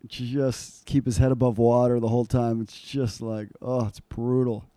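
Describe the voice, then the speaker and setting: A man's voice: mid-range voice, level tone, normal speed, mellow, dry recording. A man co-hosting a podcast, chatting casually and teasing his partner with playful remarks. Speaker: podcast co-host. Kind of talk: casual chat.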